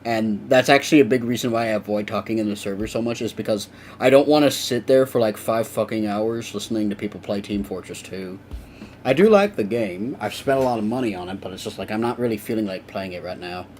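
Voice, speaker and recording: A young man with a lowish voice rambles through a casual live stream, chatting with viewers as he works. He drops the odd curse without fuss. A faint hiss sits under the recording. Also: brisk, a little mellow. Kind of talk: casual live stream chat while drawing.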